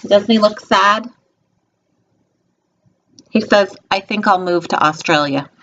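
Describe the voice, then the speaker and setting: A woman reading a picture book aloud to young children, expressive, with informal, gently instructive asides spoken directly to them. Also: medium pitch, slow. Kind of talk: read-aloud storytelling with chatty asides. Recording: dull lo-fi home video sound.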